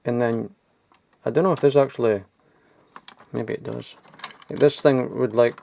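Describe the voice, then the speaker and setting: A man's voice: mid-range voice, steady tone, unhurried, creaky, a little mellow, a little hiss or hum. A man rambling casually as he digs through old toys, mumbling asides and swearing now and then. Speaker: toy collector vlogger. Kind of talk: casual off-the-cuff commentary while sorting toys. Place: cluttered loft.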